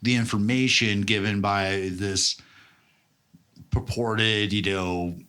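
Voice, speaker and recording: A man's voice: lowish voice, fairly even intonation, slow pace, bright, forceful tone, clear, resonant tone. A man co-hosting a casual comedic podcast, talking in a rambling, slangy, profane way. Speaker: podcast co-host.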